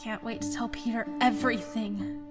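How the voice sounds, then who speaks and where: A thoughtful young wife in a comic dub, acted by a woman, speaking in a fairly high voice. She muses dramatically as if thinking aloud, with loud music behind her. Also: steady tone, moderate pace, natural voice. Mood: eager, fond. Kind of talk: inner monologue in a comic dub.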